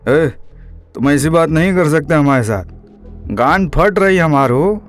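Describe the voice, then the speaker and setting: A man's voice: fairly high pitch, animated, unhurried, faint music behind, pitched below the performer's own voice. A man voicing a comic, evasive police inspector, grumbling and hesitant, in an audio drama. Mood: cornered, defensive. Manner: hesitant, grumbling, stammering excuses. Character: shifty, cornered rustic male police inspector, comically evasive.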